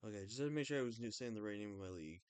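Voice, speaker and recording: A young man talking casually about his game team, rambling and correcting himself, with a slightly raspy throat. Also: on the low side, rapid-fire, dry recording. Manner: rambling, self-correcting, excitable. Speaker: draft league player. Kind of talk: casual video commentary.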